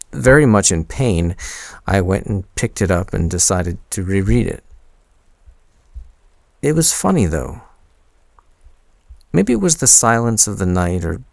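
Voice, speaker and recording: A man's voice: deep voice, gravelly, no room sound, full character voice. A man playing a shy young man confiding in his crush, hesitant and intimate, in a boyfriend roleplay audio. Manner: hesitant, halting, soft-spoken confiding.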